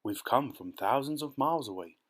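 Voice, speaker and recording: A man's voice: medium pitch, very animated, brisk. Man as a cheeky giant centipede. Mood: amused, unbothered. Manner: calling out, unbothered.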